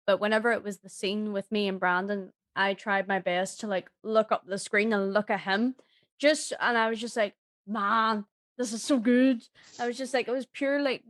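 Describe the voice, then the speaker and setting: A woman's voice: medium pitch, no room sound. A woman telling anecdotes in an enthusiastic, informal way as a podcast guest. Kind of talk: casual chat, anecdotes.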